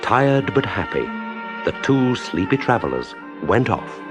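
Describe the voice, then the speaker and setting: A man's voice: medium pitch, very animated, unhurried, over music. A man reading a scripted children's story as a storyteller.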